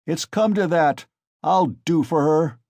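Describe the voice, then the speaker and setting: A man's voice: high-pitched, expressive, a little slow, a little mellow, no room sound, clean studio sound, voice pushed up. A man voicing a cruel, drunken young male peasant who bellows and rages. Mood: drunken bellowing. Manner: bellowing, raging.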